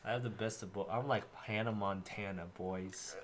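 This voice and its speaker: A man co-hosting a casual comedy stream, rambling through deadpan jokes. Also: lowish voice, normal speed, over a noisy crowd. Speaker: podcast co-host.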